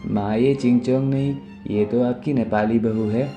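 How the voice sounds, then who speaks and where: An earnest young man acted by a male storyteller, smitten and easily flustered. His voice is mellow and relaxed in timbre, in a cartoon moral tale with music underneath. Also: mid-range voice, moderate pace, close to an everyday voice. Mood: pleading. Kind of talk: Hindi animated moral story acting. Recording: music behind the voice.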